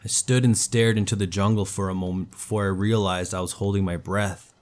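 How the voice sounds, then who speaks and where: A man's voice: fairly low pitch, steady tone, fairly quick, a little mellow. A man reading a scripted first-person horror story as its narrator.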